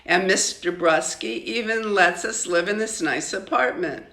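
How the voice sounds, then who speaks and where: An older woman voicing a protective, sharp-tongued immigrant mother from a storybook, firm and emphatic. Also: medium pitch, unhurried. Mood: firm, reasoning. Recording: roomy, echoing home recording.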